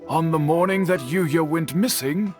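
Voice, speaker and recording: An earnest young man, played by a man speaks in a fairly high, measured voice while worrying over a missing friend. He stays composed but concerned. Faint game music sits behind him. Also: a little mellow, lightly acted. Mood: worried. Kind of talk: game voice acting.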